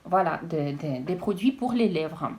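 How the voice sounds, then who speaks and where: A woman chatting casually as she unboxes beauty products, hesitant and halting with frequent restarts. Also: sits low, animated, a little mellow. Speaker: beauty vlogger.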